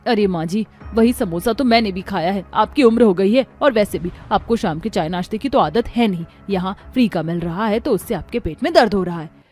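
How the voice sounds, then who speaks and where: A woman's voice: mid-range voice, animated, fairly quick, a little mellow, faint music behind, natural voice. A woman voicing a freeloading visiting sister-in-law in a cartoon, breezy and dismissive.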